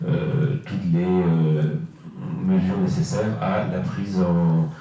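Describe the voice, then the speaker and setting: Low-voiced man in a very echoey room. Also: little pitch movement, mellow. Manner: halting, hesitant delivery with frequent long filler pauses. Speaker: local councillor presenting at a council meeting. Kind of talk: explanatory presentation at a municipal meeting. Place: reverberant indoor meeting room. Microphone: distant microphone. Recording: echoey, muffled room, distant mic.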